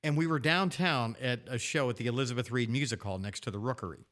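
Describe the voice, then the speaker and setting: A man's voice: mid-range voice, quick pace, fairly bright tone. A man in his fifties co-hosting a podcast, telling casual anecdotes with teasing jokes and acted-out dialogue. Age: 50s. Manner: jokey, teasing, acts out quoted dialogue. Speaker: podcast co-host. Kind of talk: casual anecdotal storytelling and banter.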